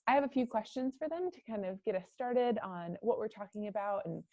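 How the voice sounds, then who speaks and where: A woman's voice: medium pitch, animated, fairly quick, no room sound, phone-quality sound. A woman hosting a virtual career panel, talking in a friendly way with many fillers. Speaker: host, career center manager. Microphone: video call.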